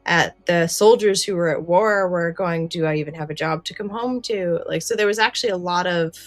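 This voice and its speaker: A woman with a fairly low voice talks casually as a podcast co-host, working through her thoughts out loud. The recording is close and dry, with music underneath. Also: a little fast, dry recording. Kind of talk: casual podcast discussion of an old radio drama.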